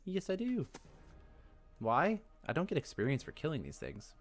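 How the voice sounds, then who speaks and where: A man's voice: a little high, wildly swooping pitch, normal speed. A man giving casual video game commentary, rambling and musing aloud as he thinks things through. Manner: rambling, musing, talks to himself. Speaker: let's play host.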